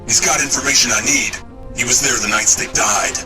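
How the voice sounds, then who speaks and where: An actor playing a brooding, defiant vigilante hero in a video game, speaking tersely and stubbornly. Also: male voice, mid-range voice, brisk, over music, natural voice. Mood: guarded, bitter. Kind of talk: dramatic game acting.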